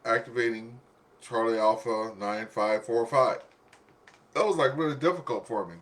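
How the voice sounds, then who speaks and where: A man's voice: mid-range voice, normal speed, fairly bright tone. Male radio net host, casual and conversational, acts out little exchanges as he tells stories.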